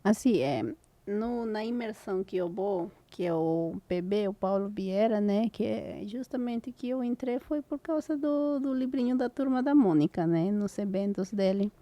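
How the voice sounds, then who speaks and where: A woman's voice: medium pitch, moderate pace, dark tone, no room sound. A woman from Peru with a Peruvian Spanish accent, chatting in Portuguese as a co-host, hesitant and searching for words. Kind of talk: casual conversation.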